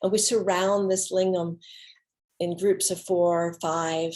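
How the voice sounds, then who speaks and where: A woman giving a dramatic reading of an autobiographical monologue, with theatrical, deliberate phrasing and pauses. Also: on the low side, level tone, a little mellow. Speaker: solo stage performer.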